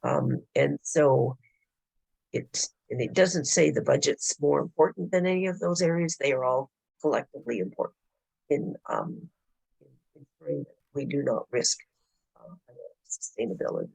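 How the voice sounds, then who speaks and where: Hoarse, gravelly man explaining governance. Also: on the high side, expressive, darkish tone, no echo, low-quality recording. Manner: explanatory, halting with frequent hesitations. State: hoarse, losing his voice. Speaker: board governance presenter. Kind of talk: formal presentation at a board meeting. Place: public board meeting room.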